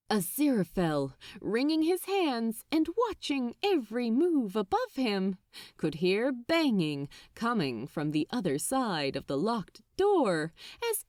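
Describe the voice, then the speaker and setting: A woman reading fiction aloud as narrator, with dramatic, deliberate phrasing and an expressive storytelling style. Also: on the high side, no room sound, clean studio sound.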